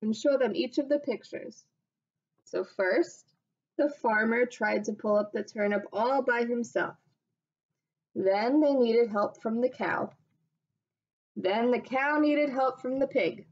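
A woman in her thirties, a teacher, reading a picture book aloud on a webcam at home in a slow, expressive voice. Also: a little high. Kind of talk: storybook read-aloud on webcam. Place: small room at home. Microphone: webcam at desk. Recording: dull lo-fi webcam audio.

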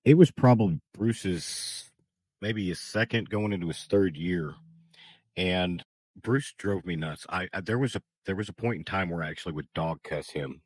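Low-pitched guy on a video call, tells stories with a teasing streak, stumbles and restarts a lot. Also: moderate pace, husky, no echo, poor audio. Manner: animated storyteller, teasing, full of restarts. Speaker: beekeeping guest. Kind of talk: casual interview chat. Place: small studio room with acoustic panels. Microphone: large studio mic on a boom, video call.

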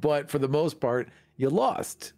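A man's voice: on the high side, animated, unhurried, darkish tone. A man co-hosting a podcast in casual discussion, making short, emphatic statements. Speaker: podcast co-host. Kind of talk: casual discussion of fantasy game monsters.

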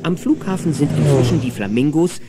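A man's voice: a little high, animated, brisk, very noisy. A man narrating a children's adventure radio play in a dramatic, playful manner. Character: lively storyteller of a children's adventure. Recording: music and engine sounds behind.

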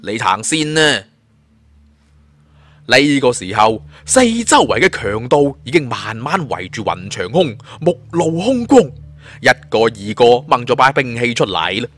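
Animated male storyteller. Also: mid-range voice, moderate pace. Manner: expressive, colloquial storytelling. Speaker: audiobook storyteller. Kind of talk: dramatic narration of a suspense fantasy story.

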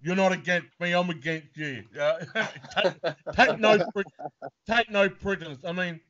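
An Australian man hosts a casual chat, his questions relaxed and full of restarts. His voice sits slightly high. The call line sounds dull. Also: measured pace. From New South Wales, Australia. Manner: relaxed, friendly, stumbling questioner. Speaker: podcast host. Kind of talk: casual video call interview. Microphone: video call.

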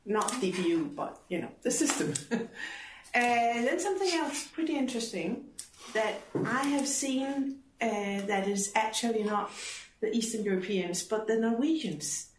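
A woman's voice: on the high side, animated, unhurried. A middle-aged woman who works at a university, commenting in a seminar discussion. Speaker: university staff member in discussion. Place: classroom, indoors. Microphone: distant room microphone. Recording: distant, echoey room with clear noise.

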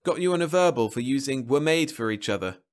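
A man's voice: medium pitch, lively intonation, fast, voice pushed up, close to an everyday voice. A man voicing a meek, hapless, henpecked husband with a plaintive, hesitant manner in a science fiction story. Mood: meek, nervous.

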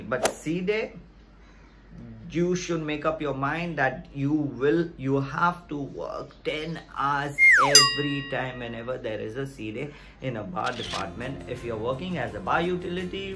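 A man, explaining things to viewers in an emphatic, repetitive, direct way. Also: a little high. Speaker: video host giving career advice. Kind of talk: explainer vlog to camera. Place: small plain room indoors. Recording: music behind the voice.

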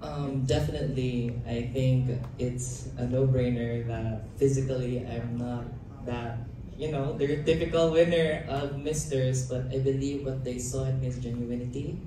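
A young man answers the press in fluent, speech-like phrases. His pitch barely moves. The sound is far off and very echoey, with steady hall noise underneath. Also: unhurried. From Philippines. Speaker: pageant titleholder. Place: event hall stage. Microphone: handheld mic, far camera.

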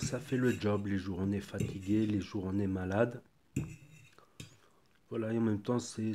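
A man with a stuffy cold talks through a food tasting at his kitchen table. His voice sits a little low, and he keeps a loose, thinking-aloud manner full of small fillers. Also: dark tone. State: has a cold. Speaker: food reviewer. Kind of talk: casual product tasting review.

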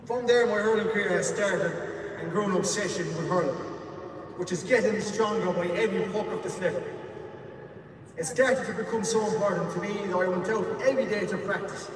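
A man in his twenties, from Iraq, giving an earnest prepared speech at a podium on an outdoor stadium pitch. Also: fairly quick, noisy background. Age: 20s. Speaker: speaker at a public event. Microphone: podium mic over the stadium PA, heard from the stands. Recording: echoey stadium PA from far off.

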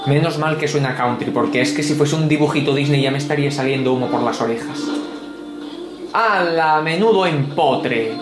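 A man's voice: on the high side, moderate pace, roomy sound, music in the background. A man, giving sardonic, grumbling, mock-pompous gameplay commentary from a home room. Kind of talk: sarcastic ranting game commentary.

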